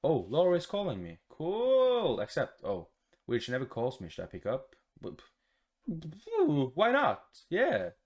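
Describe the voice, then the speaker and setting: A man's voice: fairly high pitch, wildly swooping pitch, slow pace, a little mellow, pitched above the performer's own voice, heavily acted. A man voicing an anxious student who pines over a friend, in a visual novel. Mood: fretful, excited.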